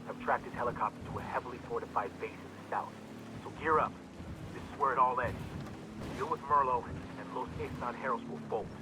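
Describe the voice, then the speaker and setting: A man's voice: mid-range voice, background noise, lightly acted. An actor playing a resolute, intense man who briefs soldiers on their mission in a video game.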